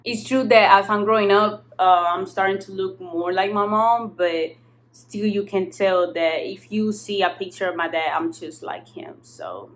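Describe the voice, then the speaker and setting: A woman's voice: mid-range voice, a little slow, slight background noise, rough recording. A young woman from southern Spain, a native Spanish speaker, telling a personal story in frank, slangy, casual English with some swearing, recorded in an apartment. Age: young adult. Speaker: vlogger. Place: apartment kitchen-living room.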